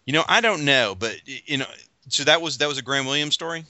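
A man's voice: on the high side, quick pace, bright, forceful tone, no room sound. A man hosting a casual podcast panel chat, quizzing his guests with enthusiasm. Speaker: podcast host. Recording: dull lo-fi dry audio.

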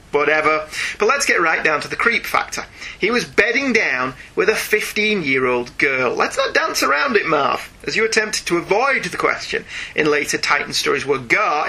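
A man hosting a podcast, chatting casually and reading out listener emails in a chatty, animated way. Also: fairly high pitch, slightly rough. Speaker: podcast host.

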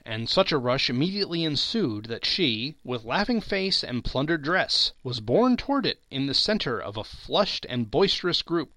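A man narrating classic fiction as a dramatic, theatrical storybook reading with expressive phrasing. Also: medium pitch, no room sound. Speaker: podcast host reading aloud.

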